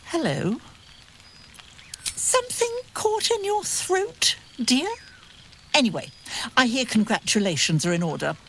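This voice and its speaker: A prim, sardonic female talking cat from a fantasy game. She speaks slowly, with highly animated swoops and arch, condescending courtesy. There is clear noise behind her. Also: exaggerated voice. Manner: arch, condescending, drawn-out theatrical politeness. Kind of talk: video game voice acting.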